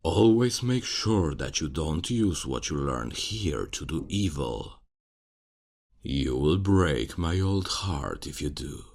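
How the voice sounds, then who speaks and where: A man acting a kindly, fatherly old archmage in a fantasy ASMR roleplay, speaking in a gentle, solemn, measured way with a gravelly, husky voice. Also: extremely low pitch, expressive, heavily acted. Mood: gentle, encouraging. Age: older.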